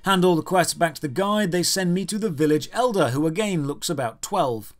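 A man's voice: fairly high pitch, fairly quick, faint music behind. A man narrating a scripted comedic game review with a sarcastic, exasperated manner.

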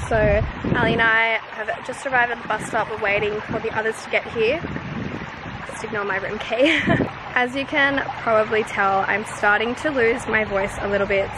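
A woman's voice: fairly high pitch, background noise. Bubbly woman vlogging to camera. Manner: bubbly, chatty, laughs often. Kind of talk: casual travel vlog to camera. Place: tropical resort, outdoors and hotel room. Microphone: camera held at arm's length.

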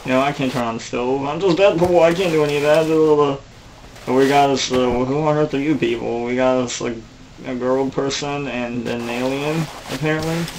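A man's voice: medium pitch, normal speed, darkish tone, a little hiss or hum, close to an everyday voice, low-quality recording. A man voicing a wisecracking male antihero who talks in a flippant, teasing way. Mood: puzzled.

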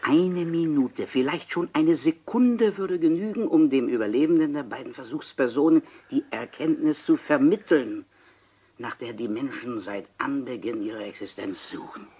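An actor playing an obsessed, coolly persuasive seventy-year-old scientist in a radio crime play, deliberate and insistent, with dramatic pauses. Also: male voice, mid-range voice, warm tone, breathy edge, dull audio, close to an everyday voice. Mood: urgent, persuasive. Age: older.

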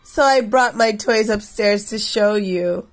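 A woman in her thirties reading a picture book aloud expressively for children, with a slightly husky, creaky voice. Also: medium pitch, measured pace, faint music behind, rough recording. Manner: addresses children directly. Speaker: read-aloud storyteller for children.

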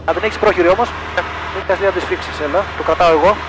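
A Greek-accented male instructor coaches casually in a high, very quick voice. It is heard over an intercom inside a noisy small-plane cockpit. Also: fairly even intonation. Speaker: instructor pilot. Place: small aircraft cockpit in flight.

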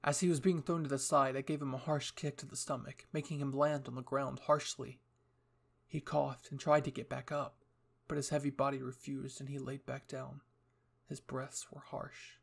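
A man's voice: mid-range voice, level tone, normal speed, vocal fry. A young man reading scripted material as the narrator and host of a story channel.

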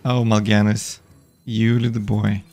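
A man's voice: fairly low pitch, flat delivery, measured pace, a little mellow, soft background music. A man streaming a digital card game live, playfully chatting with his opponents and viewers.

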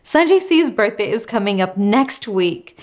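A woman in her thirties co-hosting a music show, presenting and bantering in a playful, expressive way. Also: mid-range voice, a little slow. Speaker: co-host of a music news show. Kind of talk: upbeat presenting and casual banter. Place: home music studio, indoors.

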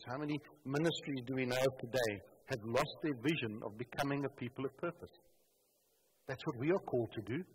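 A man's voice: medium pitch, slight background noise. A man, preaching a sermon from a church pulpit on a headset mic, emphatic and full of rhetorical questions. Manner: emphatic, rhetorical questions, stresses key words. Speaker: pastor. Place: church stage at a wooden pulpit. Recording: reverberant church hall, faint ambience.

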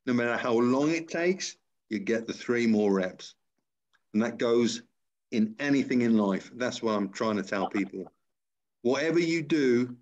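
A man's voice: medium pitch, a little mellow. A middle-aged man chatting casually on a video call like a motivational trainer, repeating his phrases to encourage. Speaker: personal trainer and interviewer. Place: small room indoors. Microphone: computer on a video call. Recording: dull lo-fi video-call audio.

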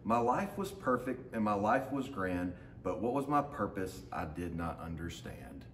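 A man in his thirties with a lowish voice reads a picture book aloud to children in a simple storytelling way. He is recorded from a few metres away in a big, echoey open room, with faint noise behind him. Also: big pitch swings, a little slow. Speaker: teacher reading aloud to students. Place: large open indoor lounge with hard floor. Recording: roomy echo, faint background noise.

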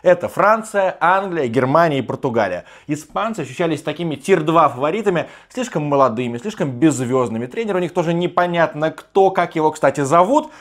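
A man in his thirties hosting a football show from a small room, his voice strained and partly hoarse, talking emphatically with mock-enthusiastic asides. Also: a little high, lively intonation, brisk, few pauses. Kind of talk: animated football commentary monologue. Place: small room with sofa, indoors.

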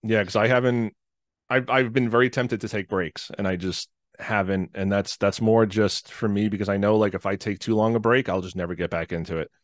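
A man's voice: lowish voice, fairly even intonation, brisk, no echo, studio quality. A man in his forties with a nasal voice, chatting as a podcast guest over a video call. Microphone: headset and podcast microphone on video call.

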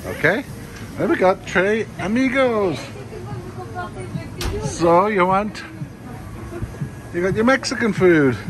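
A man's voice: sits high, animated, takes it slowly, long pauses. A man narrating a walking tour of a food court, exclaiming with enthusiasm over the dishes. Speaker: vlogger touring a food court. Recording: noisy outdoor street recording.

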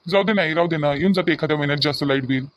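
A man's voice: fairly high pitch, very fast, dull audio, noisy background, lightly acted. A man voicing an easygoing peacemaking father-in-law in a comedy cartoon, with a Varhadi Marathi accent and repetitive, pleading phrasing. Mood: pleading, mild protest. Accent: Varhadi Marathi (Vidarbha).

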